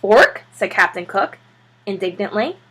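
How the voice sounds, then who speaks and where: Bright, slightly husky woman reading aloud. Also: medium pitch, expressive, normal speed, low-quality recording. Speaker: teacher reading a children's book aloud. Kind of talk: expressive read-aloud storytelling.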